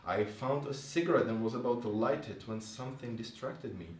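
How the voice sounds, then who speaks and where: A man's voice: mid-range voice, brisk. A man speaking to an audience at a live literary reading in a bar, as reader and host. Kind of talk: reading prose fiction aloud to an audience. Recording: roomy, distant live recording with faint noise.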